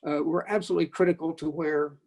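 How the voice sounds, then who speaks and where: An older American man giving reflective panel remarks in short, measured, halting phrases. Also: fairly high pitch, fairly even intonation, a little mellow. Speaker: panelist, former broadcasting board member.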